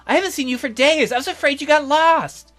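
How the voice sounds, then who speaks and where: A man's voice: extremely high pitch, brisk, fairly bright tone, soft background music, pitched above the performer's own voice. A man voicing a cute, cheerful, teasing nerdy young woman from a visual novel, bubbly and earnest. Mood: playful. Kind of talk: acted visual novel dialogue.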